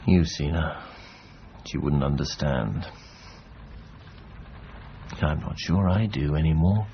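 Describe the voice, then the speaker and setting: An actor in a radio drama playing a weary, disillusioned middle-aged former adventurer, bitter at himself, halting and brooding. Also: male voice, a bass voice, gravelly, full character voice. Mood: weary, melancholy. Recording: dull, slightly noisy radio recording.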